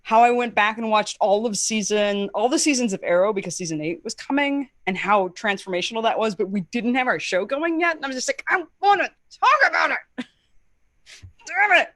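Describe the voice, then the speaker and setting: A woman's voice: a little high, animated, moderate pace, a bit bright, no echo, clean studio sound. A woman co-hosting a podcast in casual chat, with a scratchy throat, blunt and swearing freely, laughing often. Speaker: podcast co-host.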